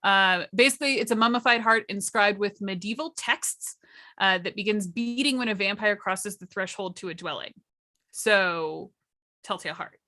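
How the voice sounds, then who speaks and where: A woman's voice: medium pitch, normal speed, pushed and bright. A woman talking as a panelist in a casual, unscripted discussion, explaining things with enthusiasm. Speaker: workshop panelist.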